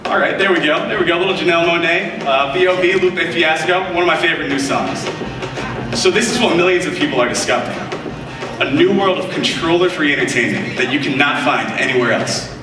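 A man's voice: a little high, brisk, music in the background. Young male presenter, upbeat scripted demo, heard from far off in a big echoing hall. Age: young adult. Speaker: product demonstrator. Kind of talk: scripted live product demo. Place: large keynote stage in front of a screen. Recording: echoey hall audio picked up from afar.

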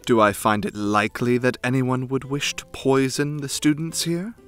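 A man playing a frightening, coldly devoted young noble retainer in an ASMR fan roleplay, speaking formally with measured, haughty menace. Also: mid-range voice, clear, resonant tone, soft background music, close to an everyday voice. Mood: ominous, scornful.

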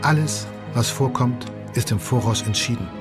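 Actor as indignant 1848 deputy over loud music. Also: male voice, mid-range voice, normal speed, natural voice. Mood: bitter, indignant. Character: embattled 1848 parliamentary deputy, bitter and indignant. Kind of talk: historical docudrama monologue.

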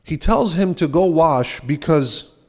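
A young man, a subdeacon, giving an earnest, measured sermon at a church lectern, asking rhetorical questions. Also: on the high side, lively intonation, a little mellow. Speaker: subdeacon preaching. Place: large ornate church, at a lectern. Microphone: lectern microphone.

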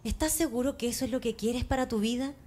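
A woman's voice: a little high, fairly even intonation, fast, warm tone, natural voice. A woman playing an affectionate, wistful mother of a young man in a radio drama. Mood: pleading, wistful. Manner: tender, pleading.

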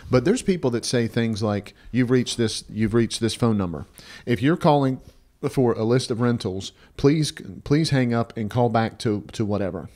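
A man's voice: medium pitch, normal speed, a little mellow. A man in his fifties co-hosting an advice podcast, talking bluntly and emphatically, repeating phrases and checking in with "right?". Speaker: podcast co-host. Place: small indoor podcast studio. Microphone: close podcast mic on stand.

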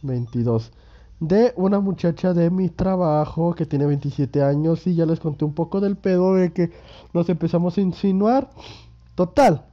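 Young mexican guy with a cold, highish voice, swearing and rambling over gameplay, muffled lo-fi audio. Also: moderate pace. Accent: Mexican Spanish. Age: 20s. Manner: rambling, crude slang, self-mocking. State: sick with a cold and sore throat. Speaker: gaming youtuber. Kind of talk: casual gameplay commentary.

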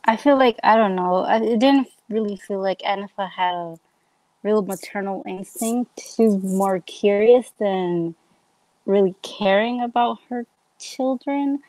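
A woman's voice: mid-range voice, a little slow, darkish tone, no room sound, poor audio. Musing woman, close dry sound. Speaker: book discussion panelist. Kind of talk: casual group book chat. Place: indoor room, video call. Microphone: video call.

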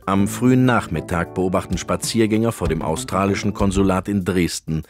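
An actor playing a grave documentary narrator, reading mock true-crime narration in a formal, dramatic way. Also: male voice, sits low, moderate pace, close to an everyday voice. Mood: grave, suspenseful. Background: loud music.

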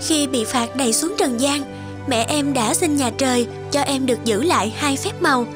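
A woman playing a gentle, devoted young fairy wife in a cartoon folktale, speaking earnestly. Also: high-pitched, normal speed, lightly acted. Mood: calm, instructive. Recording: music behind the voice.

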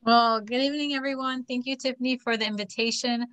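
A woman in her thirties, a farmer and technical assistant from Florida, giving a steady, plain-spoken presentation over a laptop video call. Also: a little high, fast talker, no room sound. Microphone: laptop webcam on a video call.

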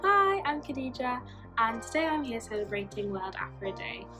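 Twenties, soft and reflective, casual fillers, music bed under a phone recording. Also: female voice, a little high, sing-song, normal speed. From Norway. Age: 20s. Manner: soft-spoken, thoughtful, many fillers like "like" and "you know". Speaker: artist. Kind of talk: reflective personal testimony to camera. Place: bright sunlit room, seated. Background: background music.

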